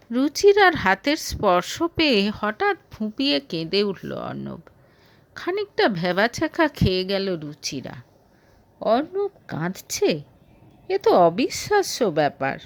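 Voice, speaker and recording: A woman narrating a romantic audio story in an expressive, emotive, dramatic way. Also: medium pitch, no echo.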